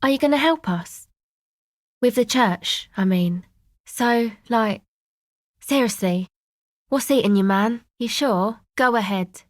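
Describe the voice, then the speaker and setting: A woman's voice: a little high, slow pace, long pauses, no room sound, studio quality. A woman voicing a laid-back, streetwise young woman in a video game, with a casual, slangy, offhand delivery that is blunt but sympathetic. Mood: curious, probing. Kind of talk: naturalistic video game dialogue.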